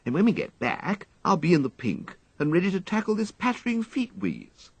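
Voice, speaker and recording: A man voicing a fretful, flighty young upper-class English gentleman who dithers and exclaims plaintively, in an audiobook story. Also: high-pitched, huge pitch swings, moderate pace, husky, dry recording, pitched above the performer's own voice, full character voice. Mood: grudging, brightening. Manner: exclamatory, dithering, drawling dandyish complaint.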